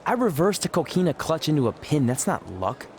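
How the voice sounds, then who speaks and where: A man's voice: on the high side, big pitch swings, brisk, darkish tone, a little hiss or hum. A man playing a cocky, paranoid, goofy young wrestling champion in a video game, boastful and nervously secretive. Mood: cocky, defensive. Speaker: voice actor in a video game cutscene. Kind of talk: comic video game dialogue acting.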